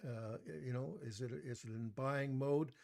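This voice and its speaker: A soft-spoken man giving a live online stock market review into a desktop mic, measured, with frequent pauses and restarts. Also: mid-range voice, steady tone. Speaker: presenter of a stock market webinar. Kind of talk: live online presentation, reading chat comments.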